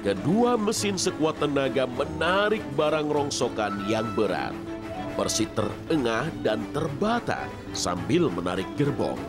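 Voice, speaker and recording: A man tells a children's story as scripted narrator. His mid-pitched voice moves up and down with lots of expression. Loud music runs behind him throughout. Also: big pitch swings, a little slow.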